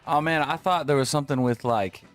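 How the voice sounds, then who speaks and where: A man's voice: a little high, fairly quick, soft background music. A man in his late thirties co-hosting a radio chat, teasing the others playfully. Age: 30s. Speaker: radio co-host. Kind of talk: casual studio chat. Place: radio studio.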